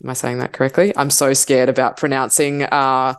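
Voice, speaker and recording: Aussie woman, really low voice, smooth, kinda hesitant cycling chat. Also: little pitch movement, a little fast. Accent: Australian. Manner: hesitant, many fillers and restarts. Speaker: podcast panelist.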